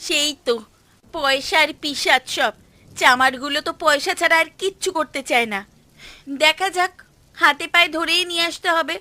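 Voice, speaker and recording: Cartoon village housewife, played by a woman: high-pitched, bright and forceful, fretful scold, over music. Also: moderate pace, no echo. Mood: worried, soothing. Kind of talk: cartoon voice acting.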